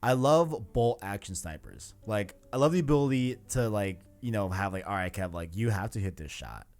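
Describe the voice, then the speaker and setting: A man's voice: on the low side, lively intonation, normal speed, a little mellow. A man hosting a casual video game discussion, enthusiastic and slangy, often repeating himself for emphasis. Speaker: podcast host. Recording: music playing behind the voice.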